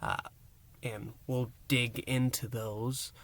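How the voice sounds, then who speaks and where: Guy doing a dramatic recap review, really slow delivery, big pauses, punches words then drops them hushed. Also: mid-range voice, fairly even intonation. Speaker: podcast review host.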